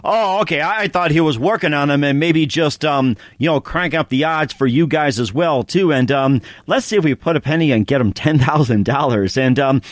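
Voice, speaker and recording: A man's voice: on the high side, moderate pace, hardly any pauses, no room sound, studio quality. A male podcast host reading sponsor spots and chatting with a guest, rambling with lots of fillers and repeated phrases. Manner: rambling, many fillers and repeated "as well, too". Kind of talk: casual interview with read sponsor spots.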